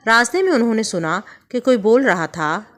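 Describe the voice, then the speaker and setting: A woman telling a moral story for children in calm, measured scripted narration. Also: a little high.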